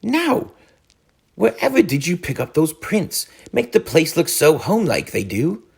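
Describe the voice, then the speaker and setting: A brisk, chummy storybook rat, played by a man, pitched higher than a usual male voice. He is hearty and upbeat, always urging his friend along. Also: wildly swooping pitch, gravelly, a little mellow, husky, heavily acted. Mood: admiring. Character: cheery, brisk, encouraging male water rat.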